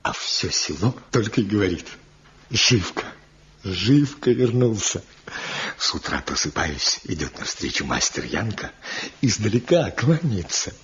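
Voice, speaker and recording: Slow, expressive old village craftsman. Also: lowish voice. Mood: proud. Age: older. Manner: halting, repeats words, fatherly. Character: old village master woodcarver, gentle, proud, fond. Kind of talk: Soviet radio drama acting. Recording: dull 1970 radio play recording.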